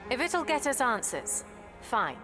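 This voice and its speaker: A woman's voice: high-pitched, sing-song, a little slow, over music. A woman playing a fierce, ruthless warrior regent in a video game, with a hard, commanding delivery. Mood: impatient. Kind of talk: scripted video game dialogue.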